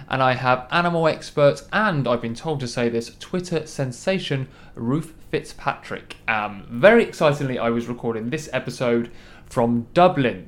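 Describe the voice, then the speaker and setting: A man hosting a casual podcast, chatty and a little croaky from a hangover, with lots of ums. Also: mid-range voice. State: hungover, a bit croaky. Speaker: podcast host. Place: large room in a hostel. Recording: echoey large room.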